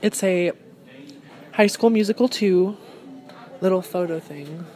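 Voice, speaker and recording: A woman's voice: on the low side, measured pace, a little mellow. A young woman chatting casually into a phone held at arm's length, bubbly and self-admiring, in a car and a thrift store. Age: young adult. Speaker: thrift vlogger. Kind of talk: casual vlog chat. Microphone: phone camera held at arm's length. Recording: noisy phone recording.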